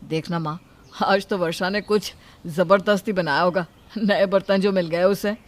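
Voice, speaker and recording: An expressive young husband, played by a man in a moral story. He loves his food and is quick to question and complain, yet he stays caring. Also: high-pitched, close to an everyday voice. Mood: eager. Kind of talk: acted dialogue in a Hindi moral story.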